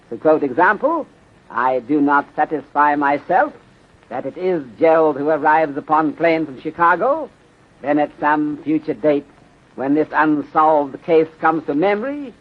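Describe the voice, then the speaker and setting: An actor playing a calm, philosophical police detective in a 1930s radio drama, speaking formally and deliberately with clipped grammar that drops articles. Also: male voice, a little high. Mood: measured, thoughtful. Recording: dull, lo-fi 1930s radio recording.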